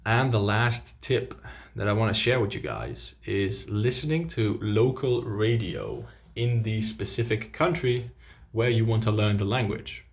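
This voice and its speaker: A man's voice: lowish voice, measured pace, gravelly. A young man whose first language is Dutch, presenting an upbeat video lesson with tips for viewers. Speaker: language coach.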